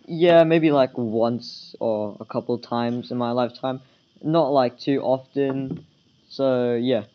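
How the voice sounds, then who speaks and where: A teenage student answering interview questions in a casual, hesitant way, full of fillers. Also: male voice, slow pace. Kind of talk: school podcast interview. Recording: dull low-fidelity school recording.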